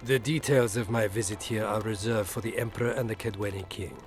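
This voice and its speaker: A suave, middle-aged male ambassador, played by an actor in a fantasy game. His voice sits fairly low and his phrasing is formal and measured, probing with veiled politeness. Music plays behind him. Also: steady tone, natural voice. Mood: formal, guarded. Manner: formal, measured, insinuating diplomat. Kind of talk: video game dialogue acting.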